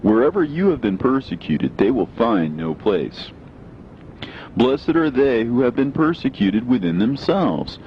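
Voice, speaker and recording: A man giving a solemn, measured, declamatory reading of scripture. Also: big pitch swings. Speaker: reader. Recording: dull lo-fi with faint low rumble.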